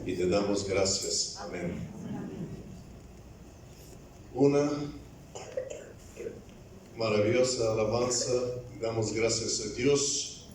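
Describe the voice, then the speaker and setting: A man preaching a sermon as an evangelist in a church, speaking in a deliberate, halting way in a language he is still learning. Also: mid-range voice, background noise. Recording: very echoey church, voice from far off.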